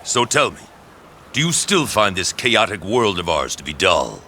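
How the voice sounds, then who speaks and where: A man's voice: sits low, sing-song, normal speed, bright, forceful tone, slight background noise, heavily acted. A man playing a commanding, visionary warlord of ancient China in a video game, with an assured, grand and probing delivery. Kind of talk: dramatic video game cutscene acting.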